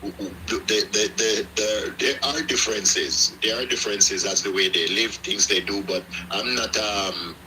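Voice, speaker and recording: A middle-aged Jamaican man with a Jamaican accent chats loosely on a live stream, wandering through stories and restarting his sentences. His voice sits low and sounds very bright and forceful, with a faint hiss of noise behind it. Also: a little slow, hardly any pauses, low-quality recording. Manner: rambling, with many restarts and storytelling. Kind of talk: casual live chat.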